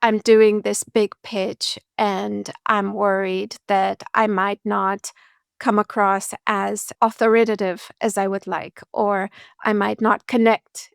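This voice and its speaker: A woman speaking as a public speaking coach in a podcast interview, explaining in an articulate, measured and reassuring way. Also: mid-range voice, little pitch movement, gravelly, smooth, no echo, clean studio sound.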